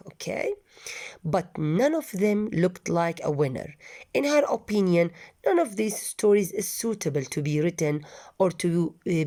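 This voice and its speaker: Mellow-voiced woman teacher explaining a story. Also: fairly low pitch. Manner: patient, restates words with synonyms, explains vocabulary. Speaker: English teacher. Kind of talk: reading a story aloud and explaining it to students.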